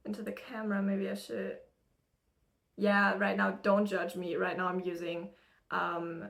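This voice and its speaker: A woman's voice: medium pitch, flat delivery, unhurried, creaky, a bit bright. A young woman from Germany with an American English accent, chatting in a rambling, casual way with lots of fillers while she does her makeup in her room. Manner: chatty, rambling, laughs often, many fillers. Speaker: spiritual channeler and content creator. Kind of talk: casual get-ready-with-me chat. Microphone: camera used as a mirror, close-up.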